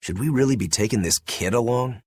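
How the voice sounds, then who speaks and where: An actor playing an expressive, emphatic male character in a dramatic video game. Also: mid-range voice, a little fast, husky, no room sound, natural voice. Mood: wry, calm. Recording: music behind the voice.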